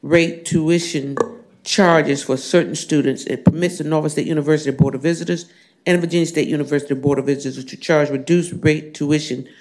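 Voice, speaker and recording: A hoarse man with a scratchy voice, a state senator formally presenting bills in a committee room, reading the text at length with many fillers. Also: fairly high pitch, steady tone, fairly quick, a little mellow. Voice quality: scratchy, gravelly. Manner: reads bill text at length with frequent fillers. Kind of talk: formal legislative bill presentation.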